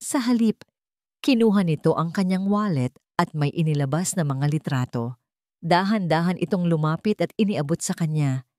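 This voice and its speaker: A woman's voice: lowish voice, lively intonation, normal speed, a little mellow, no echo, studio quality. A woman reading a scripted romance story in a dramatic, sentimental storytelling manner. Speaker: story narrator.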